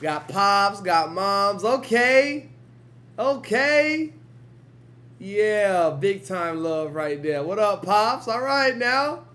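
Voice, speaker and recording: A man hosting a reaction channel, talking loosely in slang and breaking into quick hyped outbursts between calmer remarks. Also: high-pitched. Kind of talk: casual music video reaction commentary.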